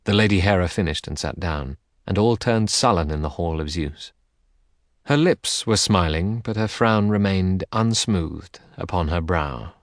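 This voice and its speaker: A man with a very deep voice narrates an ancient epic in a dramatic literary style. The recording is close and dry, with no sense of a room. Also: expressive, unhurried, dry recording. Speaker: audiobook narrator.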